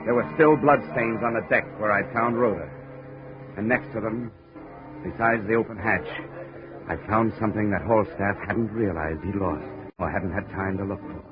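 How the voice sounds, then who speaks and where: An American actor playing a tough, wisecracking insurance investigator in a 1950s radio drama, clipped and world-weary. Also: male voice, fairly low pitch, moderate pace, over music, lightly acted. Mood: tense, grim narrating. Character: hard-boiled, wisecracking male insurance investigator. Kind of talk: 1950s radio detective drama acting and narration. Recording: noisy, muffled 1950s radio recording.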